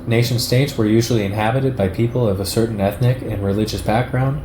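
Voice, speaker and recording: A man reading a political essay aloud in a measured, lecturing manner. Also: fairly low pitch. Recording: echoey room with clear background noise.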